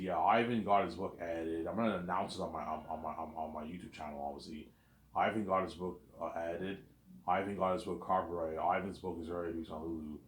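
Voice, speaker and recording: A man's voice: sits low, reverberant. A man in his thirties from Quebec, rambling in a casual monologue and acting out imagined talks. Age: 30s. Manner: rambling, repeats himself, acts out both sides of imagined talks. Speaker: vlogger.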